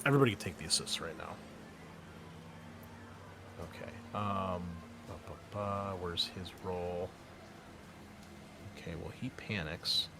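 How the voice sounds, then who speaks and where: A man acting as game master, narrating and explaining a tabletop roleplaying game in a casual, halting, conversational way. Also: deep voice, gravelly, background noise.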